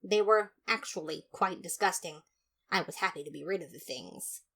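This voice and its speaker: A woman's voice: lowish voice, normal speed, gravelly, fairly bright tone, breathy edge. A woman narrating a first-person comic detective story in a dramatic, mock-serious manner. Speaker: fan fiction narrator.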